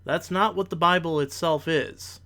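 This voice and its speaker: A man's voice: on the high side, moderate pace, pushed and bright. A man delivering scripted rebuttal commentary in formal wording, with an analytical and bluntly critical manner. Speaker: commentator, video host.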